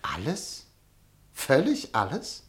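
An actor in a radio play playing a self-important, insinuating newspaper director, ingratiating and full of meaningful hesitations. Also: male voice, mid-range voice, huge pitch swings, takes it slowly. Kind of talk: German radio drama acting.

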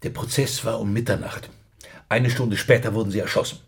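An actor playing an alarmed, grim secret police colleague in a radio crime drama, speaking in a clipped, urgent way. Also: male voice, fairly low pitch, steady tone, fairly quick, gravelly, slight background noise. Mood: grim, subdued.

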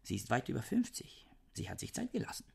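An actor playing a reclusive, irritable scholar in a radio play, stiff and disdainful, breaking into agitated outbursts. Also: male voice, mid-range voice, huge pitch swings, fast talker, vocal fry, mellow, breathy edge, full character voice. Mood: scornful. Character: bookish reclusive male scholar, irritable, scornful.

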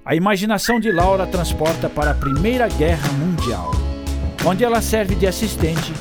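A man's voice: high-pitched, animated, moderate pace. A man with a Brazilian accent giving scripted storytelling narration for a radio drama. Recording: loud music bed under voice.

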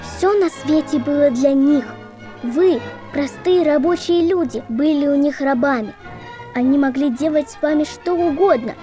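Actress as a high, mellow, earnest young reciter. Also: female voice, moderate pace, faint music behind, natural voice. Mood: earnest, grave. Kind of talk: scripted audio drama recitation.

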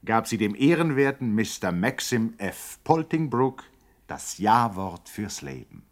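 A man's voice: lowish voice, sing-song, unhurried. A man narrating a 1960s radio play in a grand, mock-solemn way.

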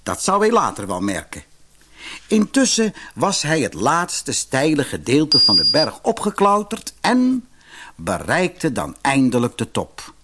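A man narrating a fairy tale for children as an expressive storyteller. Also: medium pitch, measured pace, slight background noise.

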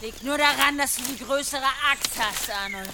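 An actress playing a fearless, sharp-tongued heathen woodcutter in a German audio drama, teasing and insolent. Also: female voice, high-pitched, moderate pace, background noise.

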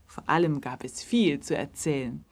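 Eine Erzählerin mit rauchiger Stimme liest die verbindenden Passagen eines Kinderhörspiels wie ein Märchen vor. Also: female voice, low voice, moderate pace. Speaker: narrator. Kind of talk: scripted children's story narration.

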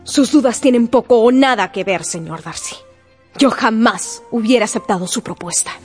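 A woman's voice: on the high side, normal speed, husky, faint music behind. An actress playing a proud, indignant young woman in a period romance radio drama, speaking formally and with strong emotion. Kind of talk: Spanish-language radio drama acting.

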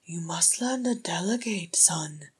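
A man's voice: a little high, expressive, unhurried, husky, full character voice. A woman narrator voicing a stern, worried, fatherly older dwarf man in a storybook. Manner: worried, admonishing.

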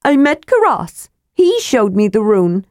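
A woman voicing a small, cheeky male kender who fibs excitedly, chirpy and bouncy. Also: on the high side, measured pace, dry recording, clean studio sound, pitched above the performer's own voice. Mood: eager.

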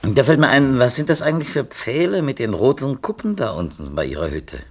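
An actor playing a well-meaning, talkative publisher's editor in a 1970s German radio play, speaking in wordy, tentative phrases. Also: male voice, mid-range voice, big pitch swings, fairly quick, a little mellow. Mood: curious. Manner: wordy, tentative, self-qualifying.

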